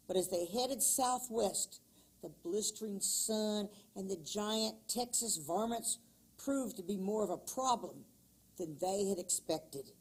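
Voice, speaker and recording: A middle-aged woman reading a folk tale aloud to children in an expressive storybook way, then talking about it. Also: on the high side, husky.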